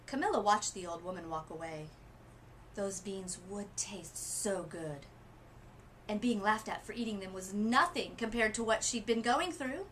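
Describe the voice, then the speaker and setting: A woman narrates a children's picture book in an expressive read-aloud style. Her phrasing is deliberate and dramatic, at a medium pitch, with noisy ambience in the recording. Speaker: literacy coach reading a picture book aloud.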